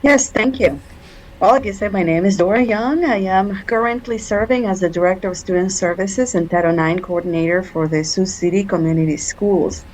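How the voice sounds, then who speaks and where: A woman from Mexico, speaking as a podcast guest over a video call in a measured way with frequent ums. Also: medium pitch, darkish tone, slight background noise, rough recording. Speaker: guest, school director. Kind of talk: podcast interview.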